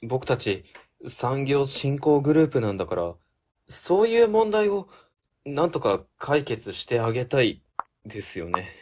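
A man playing an earnest, polite young junior office worker who explains things excitedly in a comic radio drama. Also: mid-range voice, a little slow, a little hiss or hum. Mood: earnest concern. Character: earnest, eager, polite young male junior civil servant.

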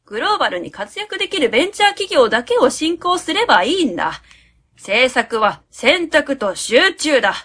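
Woman acting pompous high-voiced female consultant. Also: very animated, normal speed, fairly bright tone. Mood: commanding. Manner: pompous, commanding, declarative. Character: pompous, self-assured female consultant barking absurd jargon advice. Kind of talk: web radio drama acting.

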